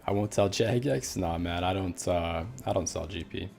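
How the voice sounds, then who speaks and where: A man's voice: low-pitched, moderate pace, creaky, darkish tone, faint music behind. A young Canadian man streaming a game, chatting casually with viewers in a laid-back way, with a Canadian accent. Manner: laid-back, reads chat aloud, many fillers. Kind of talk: casual live-stream chat while gaming.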